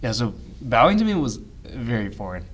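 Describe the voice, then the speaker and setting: A young American man who spoke Chinese first, chatting on a podcast and throwing in dry, deadpan jokes. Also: medium pitch, normal speed, slightly rough. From United States. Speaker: podcast co-host. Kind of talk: casual chat.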